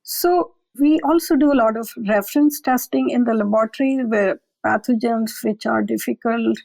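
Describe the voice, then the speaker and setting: A woman with a fairly high, gravelly voice talks through her lab work in a measured, explanatory way. She sounds close and dry, with no room around her. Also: a little mellow, no room sound, low-quality recording. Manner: measured, explanatory, occasional fillers. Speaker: mycology lab director, interview guest. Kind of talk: conversational scientific explanation.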